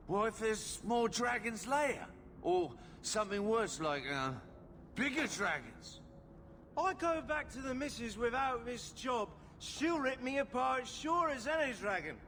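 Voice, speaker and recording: A gruff, blunt miner foreman in a game cutscene. He drawls very slowly, his high voice swooping wildly up and down. Clear background noise sits under his lines. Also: sing-song, pushed and bright, full character voice. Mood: worried. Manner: blunt, swears, drawling and slow. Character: gruff male miner foreman, worried working man.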